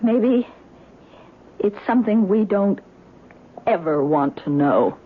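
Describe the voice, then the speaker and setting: A woman's voice: mid-range voice, expressive, slow pace, over loud music. A woman acting a frightened wife who is convinced of supernatural danger, in a 1970s radio drama. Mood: uneasy. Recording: muffled, faintly noisy 1970s radio broadcast.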